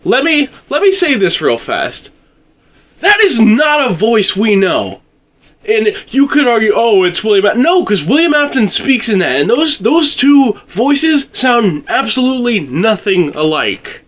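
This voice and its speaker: A man speaking as a guest in a casual discussion, explaining his point with excitable emphasis. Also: very high voice, unhurried.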